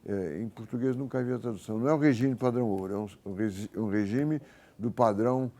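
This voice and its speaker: Brazilian professor lecturing in a halting, informal way. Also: male voice, lowish voice, vocal fry, warm tone. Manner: informal, halting, thinks aloud and corrects himself. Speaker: economics professor. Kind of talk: university lecture, explaining with worked examples.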